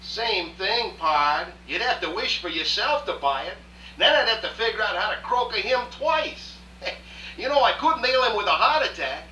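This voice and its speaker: A woman's voice: mid-range voice, pushed and bright. A woman playing a slangy, breezy, wisecracking genie in a radio drama. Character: wisecracking, streetwise, casual genie bound by rules. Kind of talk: live radio drama acting. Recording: roomy, distant mic, hum and hiss.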